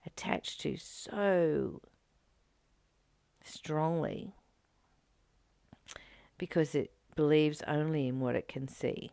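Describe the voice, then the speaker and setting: A woman reading a spiritual text slowly and meditatively, adding her own comments and dropping into soft whispers to stress a point. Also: mid-range voice, vocal fry, no echo.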